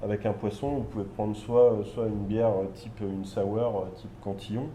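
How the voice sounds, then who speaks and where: A middle-aged man with a low, mellow voice explains things patiently, pausing on fillers. Faint ambient noise sits behind him. He speaks as a bar owner answering an interview. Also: fairly even intonation. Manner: measured, explanatory, with frequent fillers.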